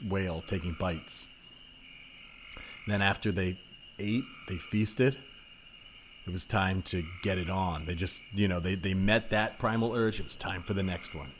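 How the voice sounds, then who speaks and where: A man's voice: low voice, close to an everyday voice. A man improvising a comedy scene as an eager, lustful hunter, rambling earnestly and admiringly. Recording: noisy cricket-like nature soundscape behind voice.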